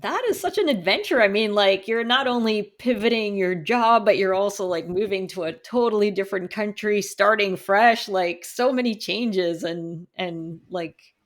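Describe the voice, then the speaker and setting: A woman's voice: medium pitch, normal speed, few pauses. Woman host, mid-range voice, enthusiastic and affirming. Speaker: podcast host. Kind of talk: casual interview chat.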